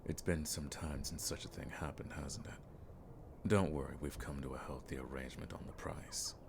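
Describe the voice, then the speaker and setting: A seasoned monster hunter played by a man speaks in an extremely low, gravelly voice that stays fairly flat. He is measured, wry and world-weary, yet friendly, in the style of a fantasy audio roleplay. Clear background ambience sits behind him. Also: background noise. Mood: courteous, pleased. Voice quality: very deep, gravelly. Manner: measured, wry, old-fashioned turns of phrase.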